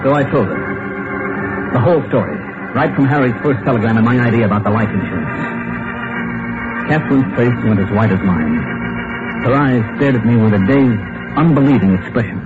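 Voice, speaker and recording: A tinny old radio recording with loud music under the voice. An actor plays a frantic, hunted husband with a mid-range voice, urgent and melodramatic, as in a vintage mystery drama. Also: male voice, mid-range voice, expressive, brisk, pauses often, lightly acted. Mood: haunted, tense. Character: frantic, hunted husband fearing he will be killed. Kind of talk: 1940s-50s radio drama acting, first-person narration and dialogue.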